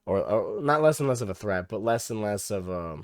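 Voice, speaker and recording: A young man hosting a reaction video, losing his voice and hoarse, rambling casually with many restarts and the odd swear. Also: lowish voice, animated, fairly quick, no room sound, studio quality.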